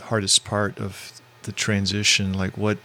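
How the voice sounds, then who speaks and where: A man with a fairly low voice hosts a podcast interview. He asks his questions hesitantly, circling back with fillers and restarts. A faint background noise sits under his voice. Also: completely flat delivery, a little slow, sharp tone. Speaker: podcast host. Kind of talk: casual interview.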